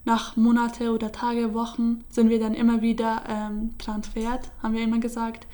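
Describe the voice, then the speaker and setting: Young woman, interviewee, halting and even-toned. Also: a little high. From Syria. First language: Kurdish. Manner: hesitant, many fillers and restarts. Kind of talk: casual personal account.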